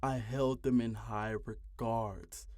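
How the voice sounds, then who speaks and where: A man's voice: medium pitch, steady tone, slow, darkish tone, no echo, studio quality. A man in his twenties narrating a ranting video essay, exasperated and profane, with heavy emphasis. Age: 20s.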